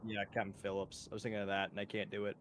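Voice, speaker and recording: A man's voice: lowish voice, level tone, very fast, a bit bright, slight background noise. A man chatting with a friend on a game stream, rambling with lots of fillers and laughing often. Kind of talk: casual gaming chat.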